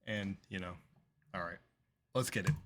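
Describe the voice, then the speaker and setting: A young man with a slight lisp talks through a reaction video in a rambling, filler-packed way. His voice sits fairly low, and it swings from loud bursts down to half-mumbled asides. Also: normal speed, a little hiss or hum. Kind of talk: casual rambling reaction commentary.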